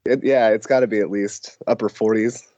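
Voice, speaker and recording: A hoarse man chatting as a podcast guest, joking in a dry, self-deprecating way. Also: fairly low pitch, fairly even intonation, normal speed, mellow, no echo, lo-fi sound. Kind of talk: casual podcast chat.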